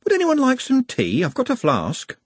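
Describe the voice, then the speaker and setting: A kindly, chatty woman from a storybook put on by a male narrator. She is homely and unflappable, her high voice lilting up and down. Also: huge pitch swings, moderate pace, husky, no echo, heavily acted. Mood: kindly, nosy.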